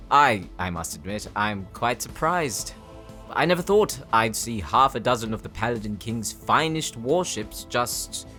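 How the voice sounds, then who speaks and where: A man's voice: medium pitch, bright, forceful tone. An actor playing a defiant, cocky captive outlaw in an audio drama, sarcastic and theatrical in his taunts. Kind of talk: dramatic audio roleplay acting.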